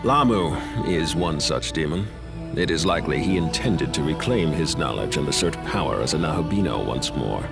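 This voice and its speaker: Brief: a stately elder leader, deep and gravelly, formal, with loud game score behind. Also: male voice, lively intonation, over loud music, exaggerated voice. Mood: explaining. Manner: measured, formal, expository. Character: stately older male leader, calm and grave. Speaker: leader of an order. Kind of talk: game cutscene voice acting.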